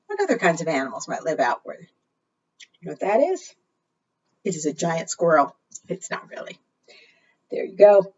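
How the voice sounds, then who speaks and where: Female storytime librarian, lively intonation, teacherly read-aloud for preschoolers, heard dully from a distance. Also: lowish voice, slightly rough. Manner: asks the young listeners frequent simple questions; expressive, deliberate teacherly delivery. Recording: muffled, distant microphone.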